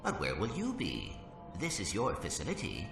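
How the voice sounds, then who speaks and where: A polite, formal little robot. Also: male voice, deep voice, huge pitch swings, moderate pace, vocal fry, over music, exaggerated voice. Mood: earnest. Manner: formal, courteous. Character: polite, earnest small male robot.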